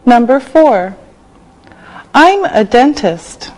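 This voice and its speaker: An older woman from Canada teaching English on a studio set with a lapel mic, dictating words slowly and clearly. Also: on the high side, very animated, a little mellow, low-quality recording. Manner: slow, clearly enunciated dictation. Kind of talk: slow scripted classroom teaching.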